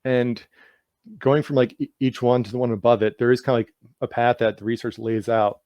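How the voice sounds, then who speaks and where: A man who stutters, answering questions in a loose, conversational way. He repeats sounds and words and leans on "like" as a filler. His voice sits at a middling pitch, recorded dry and close. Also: fairly even intonation, a little fast, darkish tone, dry recording. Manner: stutters with sound and word repetitions; heavy use of "like" as filler. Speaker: guest author. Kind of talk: casual interview answers.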